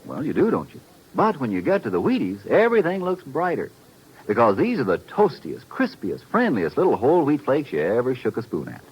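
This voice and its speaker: Swooping, folksy American radio pitchman. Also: husky. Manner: folksy, exuberant sales patter. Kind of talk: 1950s radio advertising. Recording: dull, band-limited 1950s radio recording.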